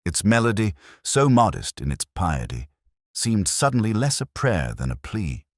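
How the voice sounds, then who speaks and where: A man reading a scripted first-person detective mystery in a measured, brooding, literary manner. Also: fairly low pitch, big pitch swings, no room sound, studio quality. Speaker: narrator.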